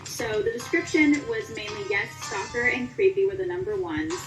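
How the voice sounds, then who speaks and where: A woman's voice: high voice, expressive, moderate pace. A woman being interviewed as a voice actor, chatting casually as she answers questions. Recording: echoey, distant mic, music behind.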